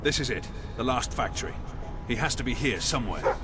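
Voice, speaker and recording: Video game royal escort, male actor: wry, watchful soldier, dry delivery, over a clear music bed. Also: mid-range voice, lively intonation, brisk, close to an everyday voice. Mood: grim, determined. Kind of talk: video game character dialogue.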